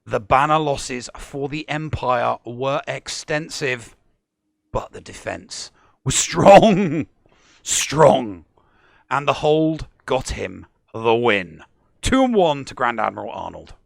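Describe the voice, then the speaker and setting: A man's voice: mid-range voice, lively intonation, takes it slowly, creaky, no room sound, studio quality. A man co-hosting a gaming stats show, recapping match results like a sportscaster. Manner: sportscaster-style recap with dramatic lines. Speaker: co-host. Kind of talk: casual gaming show commentary.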